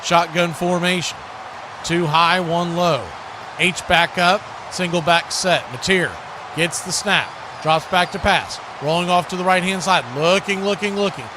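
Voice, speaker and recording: American male sportscaster, high voice, live football play-by-play, conversational yet excitable, noticeable background noise. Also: unhurried.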